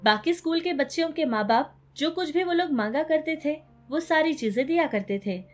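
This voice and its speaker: A high-pitched woman narrates a scripted moral story for children. Faint music plays behind her storytelling. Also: expressive, normal speed.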